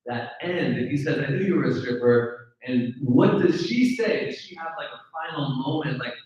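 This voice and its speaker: A man's voice: medium pitch, animated, moderate pace, a little mellow. An American man moderating an informal audience discussion as host. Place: indoor live event room. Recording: echoey room, distant dull mic.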